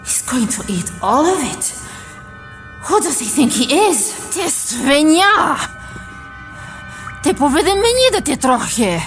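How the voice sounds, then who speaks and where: A frightened but fierce woman soldier in a radio drama, high-pitched and wildly varied in delivery. She is distrustful and defiant, and her voice rings in a long reverb. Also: big pitch swings, slow, long pauses, heavily acted. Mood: bitter, resentful. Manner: tense, defiant, muttering to herself. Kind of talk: intense radio drama acting, mixing a foreign tongue with English. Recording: echoey, long reverb, faint music.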